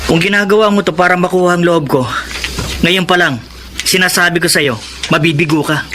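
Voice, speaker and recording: An actor playing a defiant, sullen twenty-year-old son in a Filipino radio drama, curt and confrontational. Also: male voice, sits high, fairly even intonation, fairly quick, background noise, lightly acted. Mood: cold, threatening.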